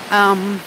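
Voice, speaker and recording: A woman with an Australian accent chatting casually and cheekily as a live stream host, replying to viewers outdoors at the beach. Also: mid-range voice. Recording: loud steady wind or surf noise.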